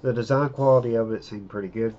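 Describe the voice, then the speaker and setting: A man's voice: mid-range voice, fast talker, highs cut off. A middle-aged man giving a casual, plain-spoken review of drone parts outdoors, speaking close to a handheld camera. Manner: relaxed, plain-spoken hobbyist talk with fillers. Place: outdoors in an open field.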